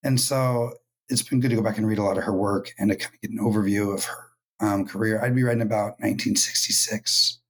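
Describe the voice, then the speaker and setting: A man from California, hoarse from losing his voice, talking thoughtfully as a writer guest in a casual podcast interview. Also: on the low side, steady tone, fast, poor audio. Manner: thoughtful, many ums and restarts. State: hoarse, lost voice.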